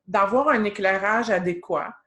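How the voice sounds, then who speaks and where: A woman with a Québécois French accent speaks as a guest in a casual conversation. She explains her points in a friendly, talkative way, often adding "tu sais". Her voice sits at an ordinary middle pitch. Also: normal speed, a bit bright. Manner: chatty, explanatory, frequent "tu sais". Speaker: guest expert. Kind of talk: casual advice chat.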